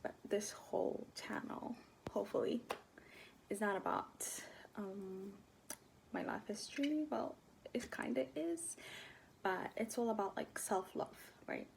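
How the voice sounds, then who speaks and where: A woman in her twenties from Ethiopia talking casually to her camera, soft-spoken and hesitant, often dropping to near-whispers. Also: medium pitch, a little slow, creaky, slight background noise, low-quality recording. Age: 20s. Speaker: vlogger. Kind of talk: casual personal talk to camera.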